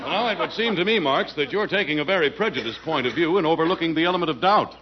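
A man's voice: a little high, animated, fast, background noise, natural voice. A man playing an indignant male panel guest in a 1940s radio comedy. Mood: objecting. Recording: dull old radio broadcast with audience.

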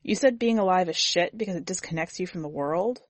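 A woman's voice: lowish voice, a little fast, no echo, natural voice. A woman voicing a desperate young drifter in a story, urgent and raw. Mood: defiant. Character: desperate twenty-year-old drifter, fearful then defiant.

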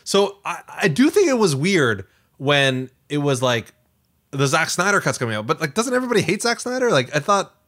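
A man co-hosting a podcast, chatting casually in an emphatic, animated way. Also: a little high, brisk. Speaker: podcast co-host.

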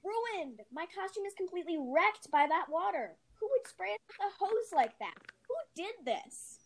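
A woman's voice: extremely high pitch, sing-song, moderate pace, heavily acted. A woman playing a spirited, dramatic schoolgirl in an amateur radio-style play. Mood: outraged. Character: Molly, indignant wartime American schoolgirl, vengeful, dramatic. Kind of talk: amateur reader's theater podcast.

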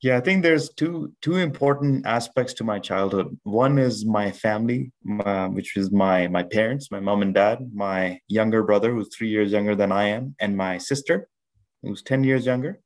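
A man from Pakistan in his thirties, a podcast guest telling his life story in a measured way with many restarts. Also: lowish voice. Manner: measured, with frequent restarts and fillers. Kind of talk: reflective storytelling interview.

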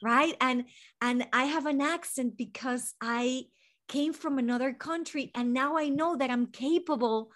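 A woman from Venezuela with a Spanish-tinged accent speaks in a slightly high voice. She is a therapist and guest on a podcast. She explains herself earnestly and reflectively, in long unfolding sentences. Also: a little slow, a bit bright. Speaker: guest, licensed mental health therapist. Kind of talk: podcast interview.

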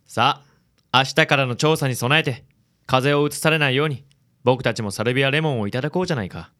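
A man playing a calm, suave detective's associate in a radio drama, speaking in a measured, reflective way. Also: medium pitch, close to an everyday voice. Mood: calm reassuring.

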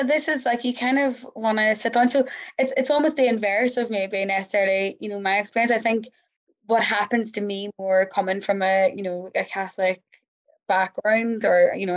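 A woman's voice: mid-range voice, dull audio. A woman with an Irish accent, a researcher answering interview questions reflectively. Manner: reflective, thinks aloud with many fillers. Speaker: researcher guest. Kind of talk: conversational interview.

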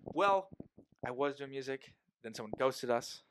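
A man's voice: mid-range voice, expressive, unhurried, a bit bright, slight background noise. A young man hosting a podcast in casual chat, rambling and joking, often breaking off mid-sentence. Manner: rambling, self-interrupting, joking.

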